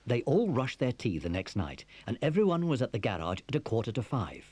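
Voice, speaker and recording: A man's voice: mid-range voice, very animated, brisk, darkish tone, slight background noise. A man narrating a children's adventure story from a script.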